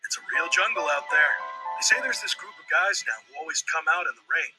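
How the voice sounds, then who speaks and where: Actor as a high-pitched, jittery male traveler. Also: a little fast, natural voice, low-quality recording. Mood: uneasy. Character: nervous, gossipy male traveler, easily panicked. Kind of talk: video game character acting. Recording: game trailer playback under music.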